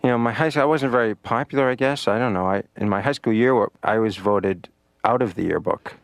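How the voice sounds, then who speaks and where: A man voicing a glum adult comedian in therapy, talking in a deadpan, halting way full of "you know"s. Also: on the low side, vocal fry, no echo. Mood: dry. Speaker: patient in therapy. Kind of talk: stand-up style monologue to therapist.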